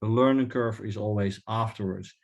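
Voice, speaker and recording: A man's voice: on the low side, steady tone, normal speed, creaky, muffled recording. A middle-aged man from the Netherlands with a Dutch accent, giving an earnest webinar presentation, full of fillers and restarts. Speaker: webinar speaker. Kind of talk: conference presentation. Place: video call.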